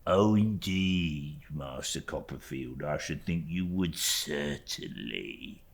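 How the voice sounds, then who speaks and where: A man voicing a fawning, falsely humble young clerk who speaks in a slow, insistent, obsequious way for an audiobook. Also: a bass voice, expressive, creaky, pitched below the performer's own voice, full character voice. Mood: blandly insistent. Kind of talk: audiobook character voice.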